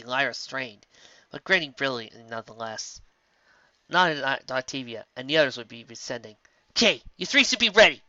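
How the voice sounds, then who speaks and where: A lively, bright-voiced story reader. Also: no echo, muffled recording. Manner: expressive reading with sudden jumps in pitch. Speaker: fanfiction reader. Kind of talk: storybook audiobook narration.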